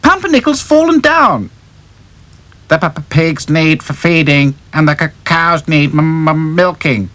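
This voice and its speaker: A man's voice: on the high side, lively intonation, unhurried, a bit bright, dry recording, voice pushed up. A man doing a stammering rag-doll princess. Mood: worried.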